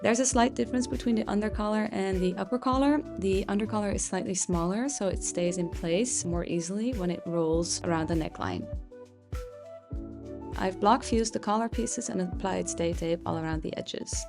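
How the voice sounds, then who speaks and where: A woman whose first language is Dutch, a pattern designer calmly explaining a sewing project step by step with occasional fillers. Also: medium pitch, moderate pace, over music. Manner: calm, explanatory, with frequent "uh" fillers. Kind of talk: sewing tutorial.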